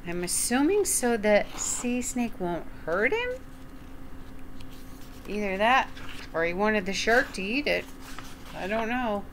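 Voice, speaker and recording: An older woman reading a children's picture book aloud at home, enunciating deliberately and putting questions to the young listener. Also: mid-range voice, big pitch swings, a little hiss or hum, rough recording. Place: small room at home, indoors.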